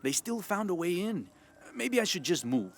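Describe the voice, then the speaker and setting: An actor playing a flustered, exasperated villager in a video game. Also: male voice, high voice, very animated, normal speed, full character voice.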